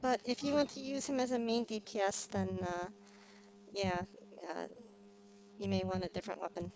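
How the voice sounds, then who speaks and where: A woman's voice: medium pitch, steady tone, normal speed, darkish tone, a little hiss or hum, rough recording. A woman streaming a game with casual commentary, thinking aloud in a rambling, hesitant way. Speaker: streamer, vtuber host. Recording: game music playing behind the voice. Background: video game music.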